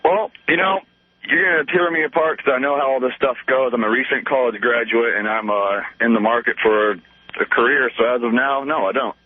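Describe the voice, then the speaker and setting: A man's voice: medium pitch, brisk, dry recording. Young man on a phone line. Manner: easygoing, self-deprecating. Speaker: radio show caller. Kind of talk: casual phone-in chat. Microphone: telephone.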